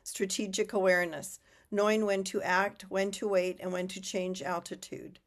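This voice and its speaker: A woman reading a prepared text aloud on a video call at a steady, measured pace. Her voice has not fully come back after she lost it. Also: medium pitch.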